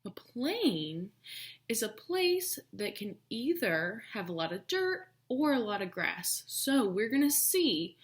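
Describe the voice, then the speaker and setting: A woman teacher reading a children's storybook aloud, expressive and emphatic, pausing to think aloud and ask questions. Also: a little high.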